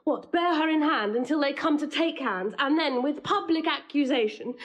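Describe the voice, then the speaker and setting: A woman's voice: sits high, normal speed, lightly acted. An actress playing a fierce, indignant noblewoman in a passionate, declamatory Shakespearean style. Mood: furious, anguished. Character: Beatrice, fierce, indignant noblewoman raging for her wronged cousin. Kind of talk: classical Shakespearean stage acting.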